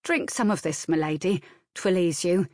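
A woman voicing a motherly, brisk older servant woman with old-fashioned rustic speech. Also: fairly low pitch, a little mellow, no echo, clean studio sound, natural voice. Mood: soothing.

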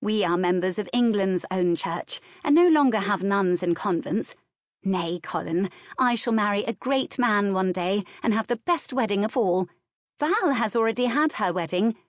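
A woman's voice: medium pitch, expressive, moderate pace, no echo, clean studio sound, voice pushed up, close to an everyday voice. A woman voicing a boastful twelve-year-old girl in an audiobook, airy and self-assured. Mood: smug, triumphant.

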